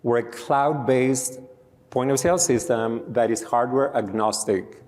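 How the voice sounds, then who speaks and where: Greek-accented founder on a live panel, explanatory, heard with hall echo. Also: male voice, mid-range voice, unhurried, a little mellow. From Greece. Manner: explanatory, storytelling. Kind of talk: conference panel discussion. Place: conference stage. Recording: reverberant conference hall.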